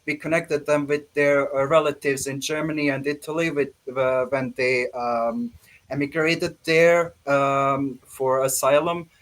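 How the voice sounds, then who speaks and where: A man's voice: mid-range voice, flat delivery, unhurried, a bit bright. A man from Bosnia with a Bosnian accent, speaking informally and hesitantly as a guest answering questions after a presentation. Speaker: guest speaker at an online ham radio expo.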